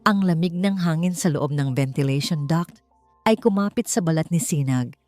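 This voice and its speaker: A woman with a low-pitched voice narrates a scripted drama. She reads as a storyteller, and faint music plays behind her. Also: normal speed, a little mellow, no echo.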